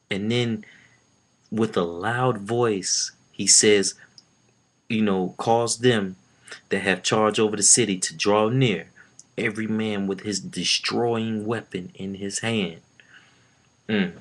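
A man's voice: fairly low pitch, fairly bright tone. A man in his thirties giving informal Bible teaching to his webcam at home, reading scripture aloud with rising force and repeating his phrases. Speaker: preacher. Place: small room at home, indoors. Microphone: laptop webcam.

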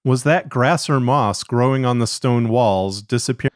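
A man with a mid-pitched voice narrates a fiction podcast. He reads from a script, recorded very dry on a close studio mic. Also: unhurried, no echo.

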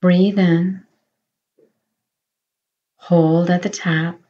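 Woman, meditation guide, soothing, extremely slow delivery, slightly dull recording. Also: lowish voice, flat delivery. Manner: slow, soothing, gentle reassurance.

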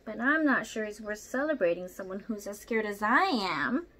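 A woman voicing a gentle, earnest, anxious female orangutan in a children's storybook. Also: medium pitch, big pitch swings, normal speed. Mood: self-doubting.

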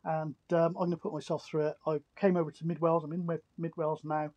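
A man's voice: fairly high pitch, flat delivery, quick pace, noisy background. A middle-aged man chatting casually outdoors as a vlogger and CB radio operator. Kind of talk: casual vlog talk to camera and radio chat. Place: outdoors on open hillside in countryside.

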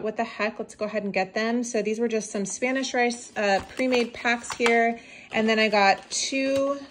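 A woman narrates her shopping haul in a relaxed, chatty voice-over. She sounds upbeat and rambles a little, with plenty of fillers. Her voice sits at an ordinary middle pitch, recorded at home. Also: a little hiss or hum. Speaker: vlogger. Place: home, washing machines running.

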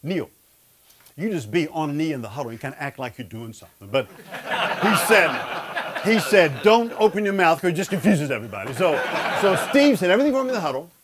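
A man's voice: a little high, sing-song, quick pace, slight background noise. A man in his fifties who stutters, giving a humorous sermon from a studio stage with a lapel mic. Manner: stutters, jokes about it. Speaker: speaker of a men's Bible study. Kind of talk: humorous sermon to a live audience. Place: studio stage before a seated audience, indoors.

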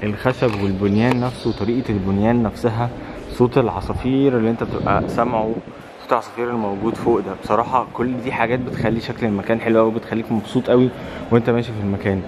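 A man's voice: mid-range voice, fairly even intonation, rapid-fire, noisy background. A man in his twenties with an Egyptian accent, chatting casually and emphatically to camera as a travel vlogger, often repeating himself. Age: 20s. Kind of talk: casual vlog chat to camera. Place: old stone mosque, arcades and open rooftop. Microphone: camera held at arm's length.

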